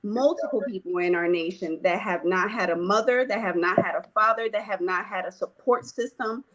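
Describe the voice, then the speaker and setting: A woman from Puerto Rico telling her life story in a conversation, earnest and hesitant, with frequent pauses. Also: medium pitch, a bit bright, low-quality recording. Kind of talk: personal testimony in conversation.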